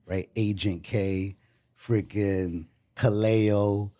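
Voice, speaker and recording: A man's voice: fairly low pitch, steady tone, dark tone. A man hosting a podcast, introducing his guest in a loose, rambling way. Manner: loose, rambling, fills pauses with "you know" and "um". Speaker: podcast host. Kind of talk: casual chat.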